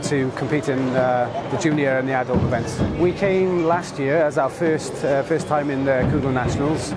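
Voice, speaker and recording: A man from Wales, a martial arts club representative, speaking in an event interview with many hesitations. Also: on the high side. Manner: hesitant, many ums and uhs. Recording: loud background noise.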